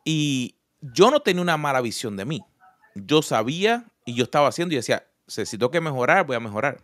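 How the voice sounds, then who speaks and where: A man's voice: mid-range voice, big pitch swings, brisk, fairly bright tone, no room sound, studio quality. A man with a Puerto Rican Spanish accent, telling personal stories in an earnest, reflective conversation. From Puerto Rico. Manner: earnest, storytelling with personal examples.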